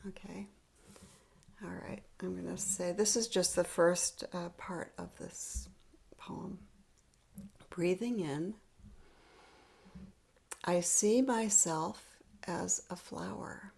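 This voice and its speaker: Gentle woman guiding meditation over a video call; very slow, soft, unhurried. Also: fairly low pitch, fairly even intonation, gravelly. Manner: soft-spoken, often half-whispered; gentle, unhurried, laughs lightly. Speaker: meditation leader. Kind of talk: guided spiritual practice.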